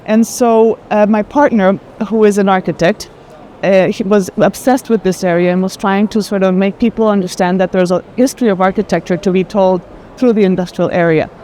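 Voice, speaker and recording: A woman in casual conversation as a guest at an art fair, telling stories in an animated way with emphatic pauses. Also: mid-range voice. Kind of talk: casual chat. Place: art fair, walking tour. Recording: noisy busy fair hall ambience.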